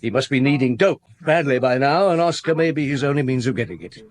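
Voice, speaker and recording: A man as a patient police chief inspector, radio drama, measured, authoritative, mid-pitched voice. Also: animated, slight background noise, close to an everyday voice. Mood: commanding. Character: calm probing male police chief inspector. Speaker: detective questioning witnesses. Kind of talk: 1950s-set radio drama acting.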